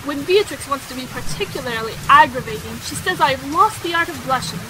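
An actress plays a young society woman, high-pitched and headstrong, who chatters on with dramatic emphasis. She is vain and candid, in the manner of a period radio play. Rain patters clearly behind her. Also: a little fast, noisy background. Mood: confiding. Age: young adult. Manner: breathlessly talkative, emphatic, dramatic. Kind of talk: audio drama acting, period dialogue. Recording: steady rain sound effects behind.

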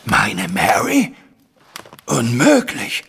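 An anguished older banker in a radio play. His voice is very hoarse and strained, with a bright, forceful edge. He swings dramatically from line to line like a man at his wits' end. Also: medium pitch, wildly swooping pitch, extremely slow, heavily acted. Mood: shocked, pleading. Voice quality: husky, raspy. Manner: agitated, dramatic. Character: distraught older wealthy banker, anguished uncle and father. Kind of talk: German audio drama acting.

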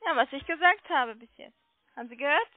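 A woman with a high-pitched voice makes a sales call. She is polite but persistent, coaxing the listener with questions. The sound is a dry, narrow telephone line. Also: animated, a little fast, no echo. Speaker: telemarketing caller selling online trading. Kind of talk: sales pitch over the phone. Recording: muffled phone line.

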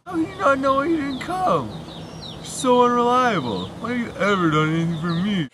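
A man's voice: very high-pitched, lively intonation, brisk, a crowd in the background. A man in his twenties acting out a casual, slangy phone chat in a comedy sketch, with exaggerated, teasing delivery. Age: 20s. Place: outdoors on steps by a sports field. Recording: outdoor camera audio, birds, ambient noise.

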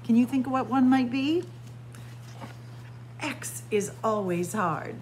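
A middle-aged woman reads aloud to young children, taking each word slowly and deliberately. Her voice rises and falls in lively, animated swoops. A faint hum sits under the close webcam sound of a small library room. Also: on the high side. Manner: slow, deliberate word-by-word reading with lively teacherly emphasis. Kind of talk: picture-book read-aloud for kids. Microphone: webcam or phone close up at arm's length.

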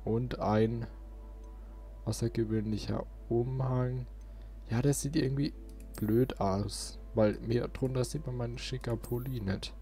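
A man's voice: medium pitch, steady tone, a little slow, dark tone, faint music behind. A man giving casual gameplay commentary as a let's play host.

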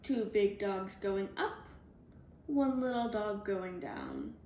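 A woman reading a children's picture book aloud, deliberately, in clearly spaced phrases for young children, recorded indoors at home. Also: mid-range voice, huge pitch swings, darkish tone. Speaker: storybook reader. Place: indoors at home, on a leather couch. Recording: roomy home recording with background noise.

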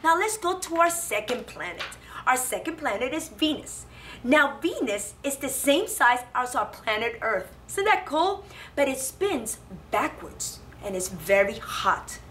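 A woman with a fairly high voice speaks to preschoolers in an animated, simple teaching manner, often asking questions. The room echoes and she sounds a little away from the microphone, with music in the recording. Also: measured pace, slight background noise. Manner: animated, simple child-directed talk with repeated questions. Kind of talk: children's lesson and read-aloud. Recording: roomy, echoing, distant microphone, faint music.

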